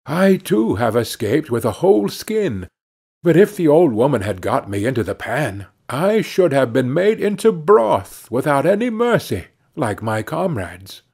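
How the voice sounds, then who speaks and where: Storybook bean, male reader: sensible, earnest companion, formal speech, unhurried, faintly rough tone. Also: medium pitch, sing-song, a little mellow, heavily acted. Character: talking bean, prudent, companionable fellow survivor.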